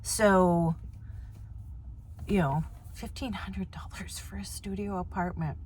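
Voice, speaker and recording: A woman's voice: medium pitch, steady tone, takes it slowly, darkish tone, slight background noise. A woman, chatting casually to camera in a van in a rambling way, full of fillers. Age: 50s. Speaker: vlogger. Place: inside a van.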